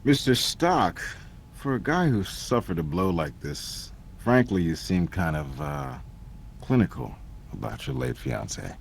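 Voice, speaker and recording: A deep, gravelly man's voice as a probing crime investigator in a video game. He sounds skeptical and measured, with lively swings in pitch and faint music behind. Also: a little hiss or hum, exaggerated voice. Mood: pointed, probing. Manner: measured, pointed, formal.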